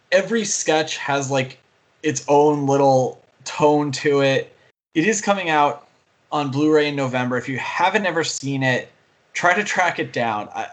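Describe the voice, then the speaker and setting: A man's voice: mid-range voice, fairly bright tone. A man co-hosting a podcast, talking casually about movies in an enthusiastic, rambling way. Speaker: podcast co-host and film fan.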